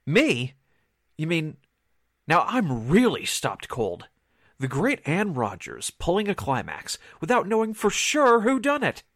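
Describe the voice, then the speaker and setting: A man's voice: fairly high pitch, huge pitch swings, measured pace, fairly bright tone, dry recording, studio quality, exaggerated voice. A man playing an astonished suspect in a 1940s-style radio mystery. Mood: incredulous. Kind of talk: 1940s radio drama acting.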